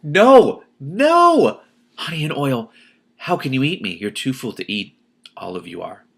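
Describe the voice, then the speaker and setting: A man's voice: mid-range voice, big pitch swings, a little slow, gravelly, a bit bright, pitched above the performer's own voice, full character voice. A man voicing a clever, desperate captive heroine in a storybook, speaking persuasively and coaxingly. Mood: urgent, persuasive.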